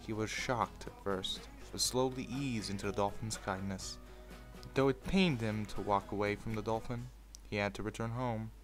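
A man's voice: fairly low pitch, fairly even intonation, moderate pace, soft background music. A man in an informal, sweary manner, hosting a video and moving between casual commentary and storytelling. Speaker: video host reading fan fiction aloud.

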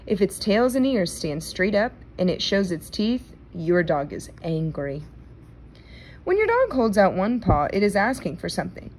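A woman reading a children's nonfiction book aloud in a careful, deliberate manner. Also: medium pitch, very animated, a little mellow, a little hiss or hum. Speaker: read-aloud reader.

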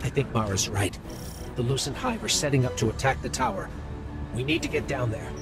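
Eager, lively robot companion. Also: male voice, medium pitch, a little fast, gravelly. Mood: concerned. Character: small, eager, helpful floating robot companion. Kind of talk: video game voice acting. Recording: loud music behind the voice.

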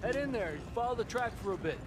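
An actor playing a friendly Old West outlaw lookout in a video game, easygoing and clipped. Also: male voice, very high-pitched, expressive, quick pace, noisy background.

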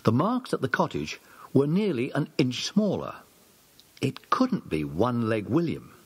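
Breathy male audiobook narrator. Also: mid-range voice, sing-song, a little slow, a little mellow. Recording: old cassette audiobook recording.

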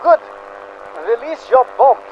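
A man's voice: very high-pitched, slow pace, dull audio, heavy background noise. A man playing a scheming, pompous spy leader, formal and menacing, in a 1940s-style radio serial. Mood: commanding. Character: scheming, pompous German spy-ring leader, male villain.

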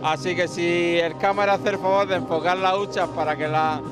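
A middle-aged man praising an exhibition in a halting way with many restarts, at a handheld mic in a gallery. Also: high voice, steady tone, over music. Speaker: interviewee. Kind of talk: street interview. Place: crowded gallery indoors. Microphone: handheld reporter mic.

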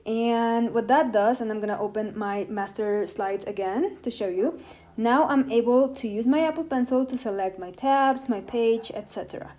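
A woman's voice: fairly high pitch, moderate pace, a little mellow. A woman hosting a tutorial, patiently explaining each step as she works on a tablet. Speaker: tutorial host. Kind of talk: step-by-step app tutorial narration. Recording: roomy echo.

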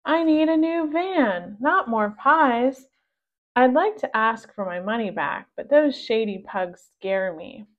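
A woman's voice: on the high side, animated, takes it slowly, phone-quality sound. Woman as a timid, plaintive storybook wolf. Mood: worried. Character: timid, troubled wolf baker, easily scared.

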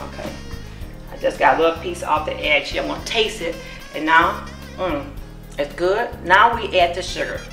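A woman's voice: low voice, moderate pace. Middle-aged woman teaching a recipe in an echoey kitchen. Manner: warm, encouraging, explains step by step. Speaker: cookbook author and home cook. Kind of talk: cooking demonstration. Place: home kitchen. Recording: echoey kitchen with music behind.

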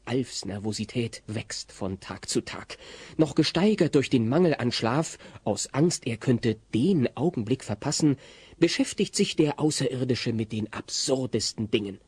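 A man narrating a scripted story in a German audio drama, telling a family comedy vividly in a husky voice. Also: medium pitch, lively intonation, measured pace, darkish tone, dry recording. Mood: storytelling.

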